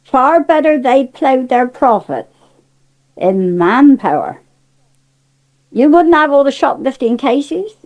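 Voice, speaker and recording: A woman's voice: high-pitched, expressive, slow, long pauses, darkish tone. An older woman with a Cornish accent, speaking in an emphatic, forthright and indignant way as she gives her opinions in conversation. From Cornwall, England. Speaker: interviewee.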